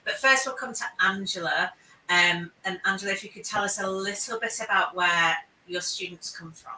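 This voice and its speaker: A woman's voice: mid-range voice, moderate pace, pushed and bright, slight background noise. A woman moderating a live panel Q&A in a chatty, encouraging way, often repeating her words. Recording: echoey room, distant mic.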